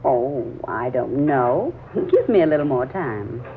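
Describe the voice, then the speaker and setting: A woman's voice: very deep voice, big pitch swings, measured pace, full character voice. An actress playing a wistful, troubled young woman in a hesitant, dreamy 1940s radio drama style. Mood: wistful, puzzled. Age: young adult. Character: wistful, troubled 23-year-old woman drawn to a stranger. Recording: muffled, noisy old radio drama recording.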